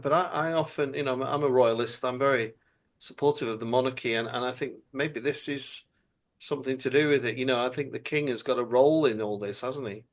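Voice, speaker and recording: A British man hosting a podcast interview, asking long, halting questions full of stammers and fillers. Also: mid-range voice. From United Kingdom. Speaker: podcast host.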